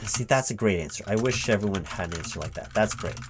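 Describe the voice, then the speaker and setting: Male recruiting manager for audio roleplay: lowish voice, spoken not whispered, hesitant puzzled questioning, computer keys clacking behind. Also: animated, background noise. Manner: halting, puzzled questioning, checking back with "right?". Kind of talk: one-sided spoken ASMR interview roleplay. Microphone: close microphone with typing sounds behind. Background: computer keyboard typing.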